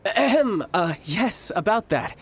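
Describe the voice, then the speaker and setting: A man's voice: high voice, sing-song, slow, slight background noise, full character voice. An actor playing a cocky, playful young male warrior who dotes on his little brother, in a video game. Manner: playful, teasing, courteous. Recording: game audio with faint music.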